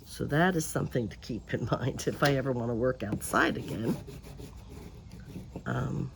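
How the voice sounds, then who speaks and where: Slow, gravelly-voiced woman crafting outdoors. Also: extremely low pitch, noisy background. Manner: quiet and subdued, drifting into mutters and whispers while working. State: voice lower and deeper than usual. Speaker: host of a paper craft channel. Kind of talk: casual crafting chat while working. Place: outdoors, in a breeze.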